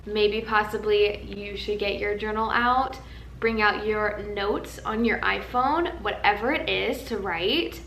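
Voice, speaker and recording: A young woman vlogging in a casual, chatty way, tired and a little raspy from a nap, in a large empty house. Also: medium pitch, a little slow, fairly bright tone, roomy sound, slight background noise, low-quality recording. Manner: chatty, rambling, earnest. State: tired, slightly raspy from just waking up. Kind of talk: casual chat to camera. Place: large empty house used as a wedding venue.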